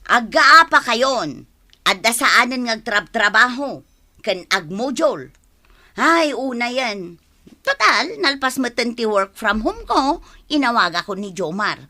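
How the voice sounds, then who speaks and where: A grown daughter in an Ilocano radio drama. Her high, sharp voice carries plaintive exasperation in an Ilocano Filipino accent. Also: very animated, slow pace, exaggerated voice. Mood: complaining.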